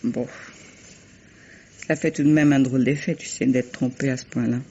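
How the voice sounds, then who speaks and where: An actress playing a composed, clever wife with an assured, gently ironic manner in a 1950s French radio mystery. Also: female voice, a bass voice, fairly even intonation, normal speed, a little hiss or hum. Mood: bitter. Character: composed, clever wife who survived her poisoner. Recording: dull vintage radio recording.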